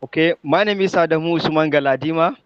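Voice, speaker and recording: Male student, slightly high voice, polite, muffled dry call audio. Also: fast talker, slight background noise. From Nigeria. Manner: formal and polite, self-correcting. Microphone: video call.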